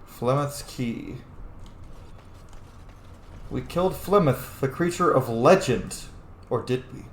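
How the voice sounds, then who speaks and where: A man's voice: medium pitch, lively intonation, slow pace. Casual male gamer in a roomy space. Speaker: gaming streamer, player. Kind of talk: casual let's play commentary. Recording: roomy echo, faint game noise.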